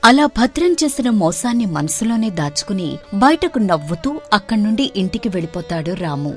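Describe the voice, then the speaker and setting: Female narrator, scripted moral story, mid-range pitch, background music. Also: very animated, brisk.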